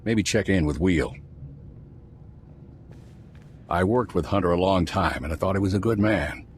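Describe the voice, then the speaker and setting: Man acting weathered male agent, low voice, folksy and measured, game drama, faint ambience behind. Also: a little hiss or hum, close to an everyday voice. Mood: frank, resigned. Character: weathered, plain-spoken male spy field team leader.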